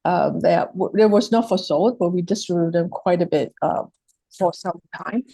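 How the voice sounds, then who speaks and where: Hong kong accent woman giving a talk, lots of ums and restarts, low-ish warm husky voice, dry sound. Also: moderate pace, no room sound. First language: Cantonese. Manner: hesitant, many fillers and restarts. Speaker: presenter of a heritage project. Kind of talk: presentation talk.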